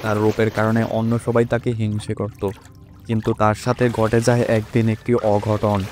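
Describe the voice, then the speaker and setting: A man's voice: lowish voice, level tone, moderate pace, a little mellow, a little hiss or hum. A man giving a scripted storyteller's narration for a fairy-tale cartoon. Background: prominent music.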